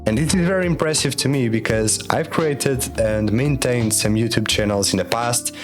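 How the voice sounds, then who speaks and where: A man's voice: medium pitch, moderate pace, over music. A young man whose first language is Portuguese, talking to camera in a careful, earnest way with frequent restarts. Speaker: YouTube creator. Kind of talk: casual explanatory talk to camera.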